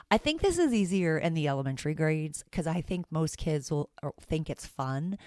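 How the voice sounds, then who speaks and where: A low-pitched woman with a raspy edge talks through her teaching podcast in a chatty, self-deprecating way. The sound is dry and close, with no room around it. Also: no echo. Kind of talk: casual solo podcast talk.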